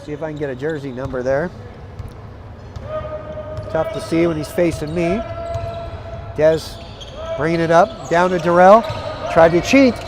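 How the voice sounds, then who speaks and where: A hoarse man calling a live basketball game play by play, energetic and chatty, climbing into excited calls. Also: fairly high pitch, unhurried, pauses often, background noise. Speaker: play-by-play commentator. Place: arena broadcast position.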